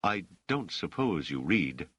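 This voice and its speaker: A man's voice: low-pitched, big pitch swings, fairly bright tone, breathy edge, no room sound, full character voice. A man voicing a self-assured middle-aged woman ruler, gracious and commanding, in an audiobook story. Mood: kindly firm. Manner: poised, formal, measured phrasing.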